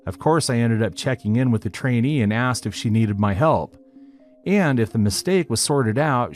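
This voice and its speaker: A man narrating a written online story in a steady, even reading style. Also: fairly low pitch. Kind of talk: scripted narration of a reddit post. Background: faint background music.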